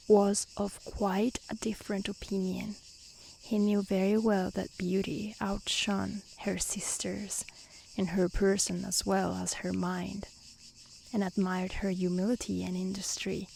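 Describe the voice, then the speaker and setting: A woman softly reading a fairy tale aloud in a gentle, hushed ASMR style that often slips toward a whisper. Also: mid-range voice, gravelly, rough recording. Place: outdoors in nature. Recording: faint outdoor hiss with crickets and insects.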